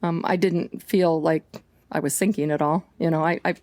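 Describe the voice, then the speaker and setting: A woman guest in a casual interview, talking loudly and emphatically with a chatty manner. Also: low voice, steady tone, normal speed. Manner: loud, emphatic, chatty with laughs.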